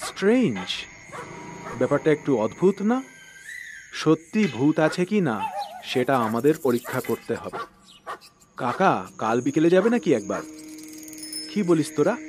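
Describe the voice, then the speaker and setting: A man's voice: a little high, big pitch swings, moderate pace, pauses often, noisy background. A man playing a confident, skeptical young boy detective who leads his team in a cartoon. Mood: curious, decisive.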